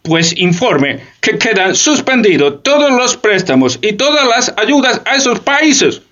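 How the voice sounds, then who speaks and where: An actor playing a high-strung, imperious United States president with a shrill voice in satirical radio theatre. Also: male voice, big pitch swings, a little slow, fairly bright tone, heavily acted. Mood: imperious, angry. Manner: imperious, decreeing. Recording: dull lo-fi recording.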